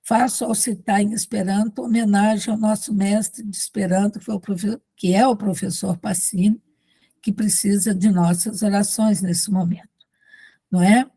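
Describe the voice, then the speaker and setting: A Brazilian woman hosting a literature course, reading a formal introduction of a guest with small slips and warm asides. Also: medium pitch, fairly even intonation, moderate pace, breathy edge, dry recording. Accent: Brazilian Portuguese.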